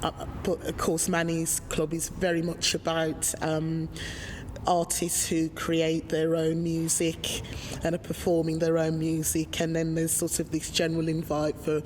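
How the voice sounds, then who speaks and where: Low-voiced woman, chatty and halting. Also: completely flat delivery, darkish tone, a little hiss or hum. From Birmingham, England. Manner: hesitant, many ums, chatty and rambling. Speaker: singer in a band, interviewee. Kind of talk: casual interview talk. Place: music venue with murals.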